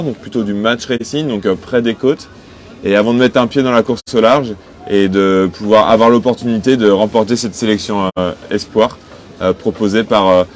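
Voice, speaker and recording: A man of twenty-five from western France, answering interview questions conversationally as a skipper. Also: lowish voice. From Cholet, western France. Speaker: sailing skipper being interviewed. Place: aboard a sailing boat in port. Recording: noticeable background noise on location.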